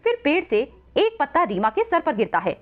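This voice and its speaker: High-pitched animated woman storyteller. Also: a little fast, narrow, tinny audio, faint music behind. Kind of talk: scripted children's story narration.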